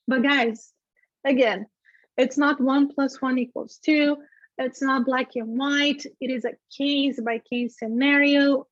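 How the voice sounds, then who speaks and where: A woman's voice: high-pitched, no room sound. A woman who is a native Portuguese speaker, presenting an informal instructional webinar in a conversational, emphatic way, often checking in with listeners. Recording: dull, lo-fi webinar audio.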